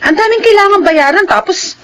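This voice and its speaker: A woman's voice: extremely high pitch, lively intonation, fairly quick, a bit bright. An actress playing a worried laundrywoman and mother in a radio drama, pleading and exasperated about money. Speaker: wife.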